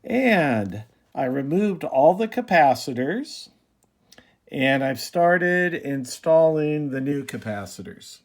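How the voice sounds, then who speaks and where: Slow-talking man, casual repair walkthrough. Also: medium pitch. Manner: unhurried, halting, thinking aloud. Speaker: hobbyist presenter of a radio repair video. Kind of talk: casual unscripted show-and-tell commentary.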